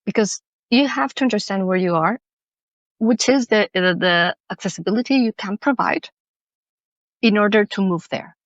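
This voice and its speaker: A woman's voice: mid-range voice, moderate pace, no room sound, highs cut off. Earnest woman guest, English, thoughtful, restarts often, dry recording. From Mexico. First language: Spanish. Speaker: guest, accessibility consultant. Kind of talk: podcast interview.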